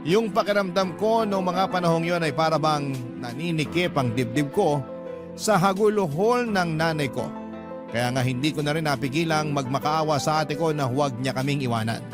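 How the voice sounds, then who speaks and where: A man's voice: fairly high pitch, moderate pace, natural voice. A man reading a scripted first-person story as an emotional radio storyteller. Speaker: storyteller narrating a letter sender's story. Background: background music.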